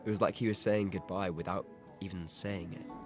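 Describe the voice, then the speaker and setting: A man plays a wistful teenage boy in an audio drama, with a low voice. He sounds sincere and reflective, with music clearly audible behind him. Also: steady tone, brisk, lightly acted. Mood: sad, reflective. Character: Peter, wistful teenage boy attached to his best friend.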